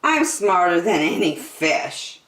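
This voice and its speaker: A woman's voice: lowish voice, very animated, slow, a bit bright, reverberant, exaggerated voice. A woman voicing a cocky, impatient and stubborn young girl in a children's storybook, boastful and exclamatory. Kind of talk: children's storybook read aloud.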